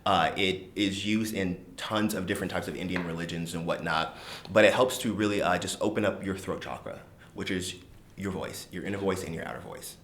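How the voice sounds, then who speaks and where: A man with a deep voice, co-hosting a podcast and calmly guiding a meditation, his phrases trailing off softly. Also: fairly quick, a little hiss or hum. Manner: soft-spoken, trails off quietly at the ends of phrases. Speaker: podcast co-host leading a meditation. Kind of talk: calm guided meditation and casual chat. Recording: echoey room.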